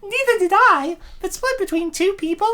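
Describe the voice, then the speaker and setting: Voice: very high-pitched, lively intonation, moderate pace, bright, forceful tone, exaggerated voice. A performer voicing a reluctant, questioning young woman who frets about overeating, in an amateur comic dub. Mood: hesitant, worried. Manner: questioning, hesitant, protesting.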